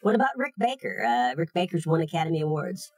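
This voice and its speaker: Low-voiced woman interviewer. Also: big pitch swings, fast, a little mellow. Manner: persistent, politely challenging. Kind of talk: interview questions.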